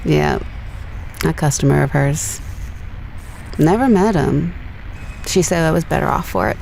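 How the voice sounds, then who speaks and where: A woman's voice: low voice, measured pace, vocal fry, darkish tone, a little hiss or hum, exaggerated voice, rough recording. An actress playing a guarded, wry young woman explorer in an intimate sci-fi audio drama, with a dry, understated, teasing delivery over a spaceship comms link.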